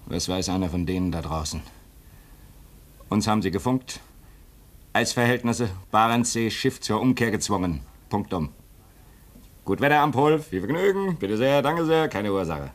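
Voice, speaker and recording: A man's voice: lowish voice, animated, normal speed, pauses often, close to an everyday voice. An actor in a German radio play as an impatient, sardonic newer man at a polar station, clipped, sarcastic and theatrical. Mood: bitter sarcasm.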